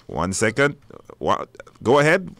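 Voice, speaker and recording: A man's voice: fairly low pitch, wildly swooping pitch, measured pace, creaky, a bit bright. A man hosting a live radio show, announcing informally with hesitations and repetition. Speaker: radio talk show host. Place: dry radio studio.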